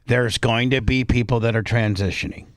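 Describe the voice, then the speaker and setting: A man's voice: medium pitch, fairly even intonation, moderate pace, dry recording. A man speaks as a talk radio host in a mid-range voice, recorded dry with no room sound. He comments casually, circling back over his phrases and restarting as he explains.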